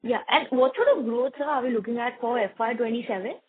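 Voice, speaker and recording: A woman with an Indian accent asks analyst questions at a quick clip over a tinny phone line. Her voice is husky and suits a business conference call. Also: on the high side.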